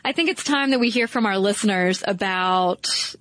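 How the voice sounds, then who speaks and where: A woman co-hosting a podcast, animated in casual chat and laughing easily. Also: mid-range voice, moderate pace, dry recording. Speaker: podcast co-host.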